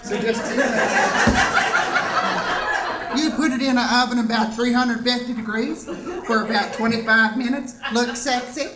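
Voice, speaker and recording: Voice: mid-range voice, steady tone, a little slow, pauses often, lightly acted. Homespun old grandma as acted on stage, rambling through kitchen instructions, picked up from afar in heavy noise. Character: folksy, homely grandma giving rambling kitchen demonstration. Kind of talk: comic old-time sponsor cooking demonstration. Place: live theatre stage. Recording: echoey, very noisy, distant stage pickup.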